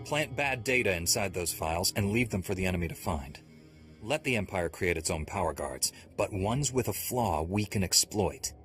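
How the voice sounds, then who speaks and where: A ruthless Jedi warrior with a very deep voice, firm and defiant. It is game acting with music clearly behind it. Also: male voice, animated, normal speed, fairly bright tone. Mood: commanding. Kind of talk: video game dramatic acting.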